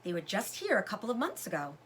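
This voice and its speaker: A woman voicing a wistful, grieving orphaned pioneer girl, speaking in a hushed, halting way, in a storybook reading. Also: medium pitch, wildly swooping pitch, slight background noise, voice pushed down. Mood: hushed wonder.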